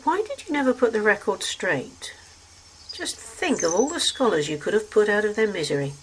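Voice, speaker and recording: A woman's voice: medium pitch, sing-song, moderate pace, slight background noise, voice pushed up, natural voice, rough recording. A reader voicing an arch, poised woman. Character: arch, refined enigmatic woman traveller.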